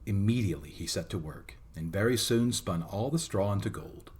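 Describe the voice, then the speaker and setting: A man narrating a fairy tale as a storytelling host, in a theatrical, expressive dramatic reading. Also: lowish voice, normal speed.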